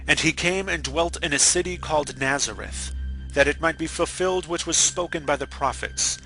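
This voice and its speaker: A man's voice: a little high, moderate pace, sharp tone, faint music behind, low-quality recording. A man giving a dramatic reading of scripture as a narrator. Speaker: Bible reader.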